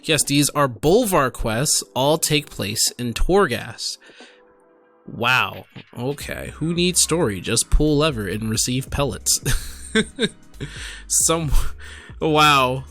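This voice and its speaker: Bright-voiced tipsy man reacting casually. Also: mid-range voice, lively intonation, slow, faint music behind. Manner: rambling, exclamatory, addresses viewers directly. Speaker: streamer and gaming video host. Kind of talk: casual off-the-cuff reaction commentary.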